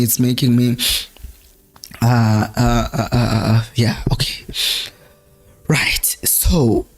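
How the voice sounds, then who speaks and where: A South African man hosting a fan live stream, talking casually about football, laughing often, his voice going hoarse. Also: fairly high pitch. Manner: chatty, laughs often, switches between languages mid-sentence. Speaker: fan channel host.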